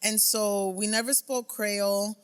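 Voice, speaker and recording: Lively woman radio host storytelling. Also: mid-range voice, measured pace, clean tone, big-room sound. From South Florida. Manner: lively storyteller who acts out voices she quotes. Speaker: radio host, podcast guest. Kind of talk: casual interview chat.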